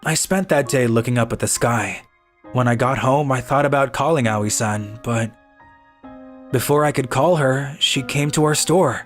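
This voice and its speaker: A man's voice: mid-range voice, moderate pace. Earnest schoolboy narrator, acted by a man over music. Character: earnest, kind schoolboy who bakes cakes, narrating his story. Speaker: narrator and lead character of an animated story. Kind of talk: scripted narration and voiced dialogue. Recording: music behind the voice.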